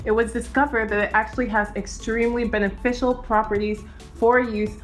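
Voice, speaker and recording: A bright, fairly high-voiced young Nigerian woman talks straight to camera in a cheerful home vlog. She rattles through tips with playful slang and quick asides. Soft music plays faintly behind her. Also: level tone. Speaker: natural hair vlogger. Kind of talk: chatty hair-care vlog. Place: indoor home studio.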